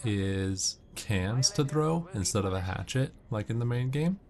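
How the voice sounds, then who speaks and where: A man's voice: lowish voice, expressive, unhurried, darkish tone. A man giving casual, matter-of-fact gameplay commentary, explaining tactics as he goes. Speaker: gamer giving walkthrough tips. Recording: noisy, game gunfire behind voice. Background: video game gunfire and ambience.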